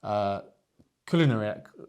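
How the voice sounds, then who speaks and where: A man's voice: fairly low pitch, lively intonation, measured pace, a little mellow, clear, resonant tone. Hesitant man. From Essex, England. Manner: hesitant, many restarts, laughs at himself. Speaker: vlog co-host. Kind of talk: casual chat.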